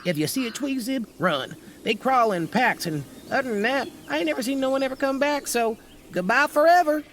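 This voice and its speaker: A man's voice: extremely high pitch, animated, brisk, fairly bright tone, a little hiss or hum. A man playing a folksy, eccentric guide in a fantasy audio drama, colloquial, ominous and jokey at once. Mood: ominous, comic. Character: folksy, eccentric male guide warning of deadly danger.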